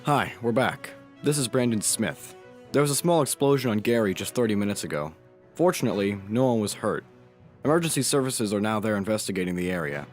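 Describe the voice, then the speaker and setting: A man playing a local news reporter with a slightly deep voice and brisk bulletin delivery. Faint music sits behind this audio-drama report. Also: lively intonation, close to an everyday voice.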